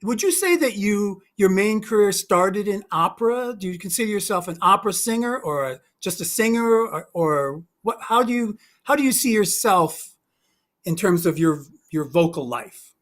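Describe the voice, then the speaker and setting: A man's voice: sits high, lively intonation, moderate pace, fairly bright tone. Enthusiastic male host on a video call. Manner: enthusiastic, complimentary, rambling questions. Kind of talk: casual interview chat.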